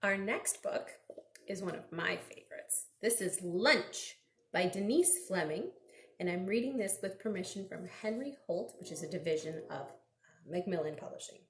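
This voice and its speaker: A woman's voice: on the low side, lively intonation, moderate pace, slight background noise. A woman children's librarian reading aloud expressively to small children, prompting them with simple questions. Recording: roomy, echoing indoor recording.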